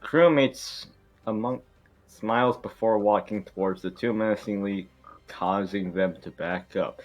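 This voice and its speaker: A man's voice: lowish voice, a little slow. A man reading stage directions at an amateur table read in a casual, irreverent, joking way with swearing ad-libs. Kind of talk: casual, joking script narration.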